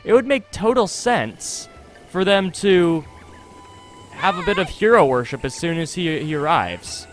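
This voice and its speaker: A man chats through a video game in a fairly high voice that swings freely up and down. He is casual and wry, often poking fun at himself. He is close on a desktop mic, with faint music behind him. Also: expressive, a little slow. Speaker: gaming video host. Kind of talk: casual let's-play commentary. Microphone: close desktop USB microphone.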